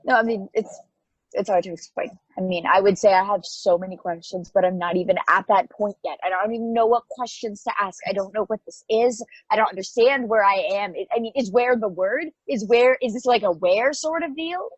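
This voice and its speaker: A woman playing a newly awakened, anxious space probe in an amateur table read, halting, stammering and apologetic. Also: mid-range voice, husky, no room sound, dull audio, lightly acted. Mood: bewildered, anxious.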